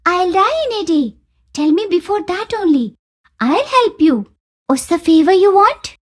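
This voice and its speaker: A tiny talking eggplant from an animated fable. The voice is extremely high, squeaky and childlike, with lively swings as it pleads and offers help. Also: female voice, a little slow, heavily acted. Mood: helpful, matter-of-fact. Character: small talking magic eggplant, pleading and helpful. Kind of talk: animated moral story dialogue.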